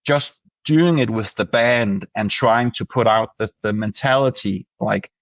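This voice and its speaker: A man's voice: on the low side, a little slow, no echo, lo-fi sound. A Danish man, a band's singer, answering interview questions hesitantly with many restarts. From Denmark. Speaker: band singer.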